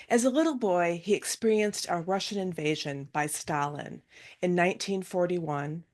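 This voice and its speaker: A woman's voice: on the low side, a little mellow. A woman minister giving a recorded video sermon, telling a personal family story in an earnest way.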